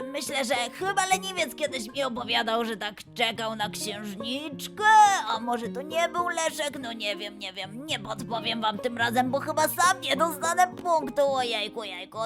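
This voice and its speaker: A woman voicing an excitable, high-pitched forgetful young lion. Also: unhurried. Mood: puzzled, fretful. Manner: fretful, exclaiming, self-doubting. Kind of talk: children's audio quiz show acting. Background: music.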